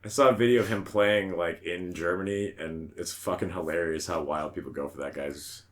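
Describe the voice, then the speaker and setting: Man, deep voice, casual podcast chat, joking, blunt, foul-mouthed. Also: steady tone, moderate pace, a bit bright. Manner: sweary, blunt. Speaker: podcast co-host.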